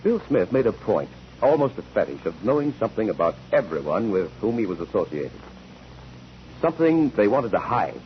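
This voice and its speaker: Low-voiced, relentless courtroom investigator. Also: male voice, sing-song, normal speed. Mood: explaining. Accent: American. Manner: precise, articulate, probing. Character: sharp, relentless male courtroom investigator, composed and methodical. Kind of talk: 1940s radio drama acting. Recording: dull, noisy 1949 radio recording.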